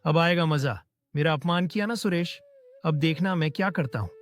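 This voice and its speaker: A man's voice: fairly high pitch, moderate pace, lightly acted. A man playing a resentful, scheming village man in a cartoon moral story, muttering and insinuating with false politeness. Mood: gloating, vengeful. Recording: music behind the voice. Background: prominent music.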